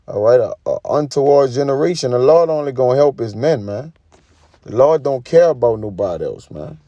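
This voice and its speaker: A man's voice: medium pitch, lively intonation, fairly quick, dark tone, dry recording. A man giving an informal sermon, reading scripture and commenting in an emphatic, colloquial way with frequent tag questions. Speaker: preacher.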